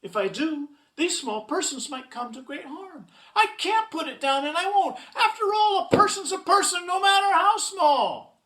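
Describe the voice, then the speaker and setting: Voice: mid-range voice, wildly swooping pitch, a little slow, pushed and bright, roomy sound, slight background noise, full character voice, rough recording. Woman voicing an earnest, emphatic storybook elephant. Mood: determined. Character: big gentle earnest elephant, protective, loyal, pleading.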